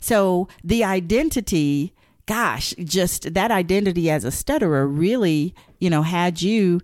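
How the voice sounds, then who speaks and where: A woman's voice: on the low side, lively intonation, a little slow, darkish tone, dry recording, clean studio sound. A woman speaking in an earnest, explanatory way on a podcast, repeating points for emphasis. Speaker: guest, counselor. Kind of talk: podcast conversation.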